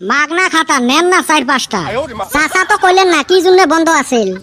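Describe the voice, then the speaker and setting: A woman's voice: very high voice, a little fast, bright, forceful tone. A woman voicing a cheeky, teasing, freeloading village youngster in a comedy cartoon, with a northern Bangladeshi Rangpuri accent. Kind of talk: comedy cartoon voice acting in rural dialect.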